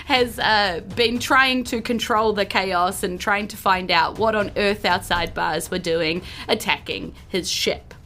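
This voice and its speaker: A woman with a New Zealand accent hosting a podcast as dungeon master in a lively, casual style. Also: mid-range voice, unhurried, few pauses, slight background noise. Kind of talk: lively casual podcast intro and game recap.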